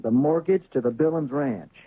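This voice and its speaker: An actor playing a defiant poker player in an old radio drama, answering curtly. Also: male voice, mid-range voice, normal speed, breathy edge, dry recording, natural voice. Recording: tinny lo-fi old radio broadcast.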